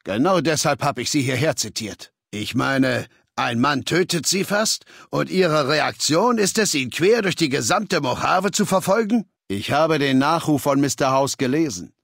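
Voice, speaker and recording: An actor playing a ruthless, imperious male legion leader in a video game, with a measured, commanding, contemptuous manner. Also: on the high side, expressive, vocal fry, fairly bright tone, breathy edge, no room sound, studio quality, heavily acted. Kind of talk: scripted game dialogue.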